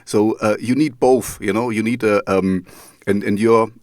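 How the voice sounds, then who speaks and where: Hesitant German-accented man, low and dry. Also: steady tone, moderate pace, darkish tone, dry recording. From East Germany. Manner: hesitant, many fillers and restarts. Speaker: podcast host. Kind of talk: casual interview over a call.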